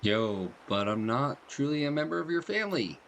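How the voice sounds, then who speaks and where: A man's voice: medium pitch, big pitch swings, normal speed, vocal fry, fairly bright tone, slight background noise. A man hosting a gaming video, reading dialogue and commenting in a casual, slangy, joking way. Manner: jokey, slangy.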